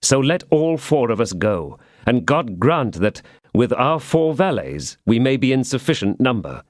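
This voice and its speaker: A man voicing a somber, quiet older male swordsman in an audiobook. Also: medium pitch, big pitch swings, unhurried, dry recording, clean studio sound.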